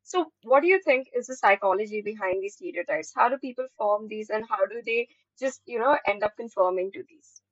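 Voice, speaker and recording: A woman's voice: medium pitch, expressive, fairly quick, few pauses, no room sound. A woman with an Indian accent hosting a conversational interview as a podcaster. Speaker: podcast host. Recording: dull, lo-fi close dry audio.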